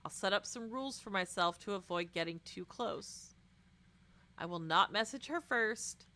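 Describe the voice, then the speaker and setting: A young woman streamer reading a visual novel aloud expressively, with dramatic, casual, animated asides. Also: medium pitch, normal speed.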